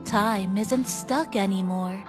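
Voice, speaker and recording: A woman voicing a kindly, gently teasing cafe attendant in a video game, with music playing behind her. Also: medium pitch, slow pace, close to an everyday voice. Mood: friendly, helpful. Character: playful, kindly female cafe attendant addressing a passenger. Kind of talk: video game character dialogue. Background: game background music.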